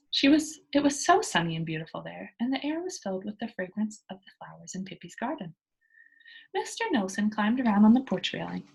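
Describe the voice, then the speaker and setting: A woman's voice: medium pitch, creaky, a little mellow, breathy edge, poor audio. A woman reading a children's book aloud as a storyteller, talking to young listeners as she goes. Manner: engaging listeners with simple questions.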